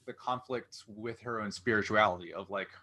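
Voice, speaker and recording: A man's voice: lowish voice, moderate pace, sharp tone. A man hosting a casual interview, thinking aloud in a rambling, conversational way. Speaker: interview host.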